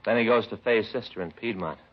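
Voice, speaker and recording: Actor as low-voiced American detective sidekick. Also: male voice, a little fast, close to an everyday voice. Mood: grumbling. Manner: plain, conversational. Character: grumbling, henpecked male police detective sidekick. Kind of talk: 1950s radio drama acting. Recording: dull, noisy 1950s radio recording.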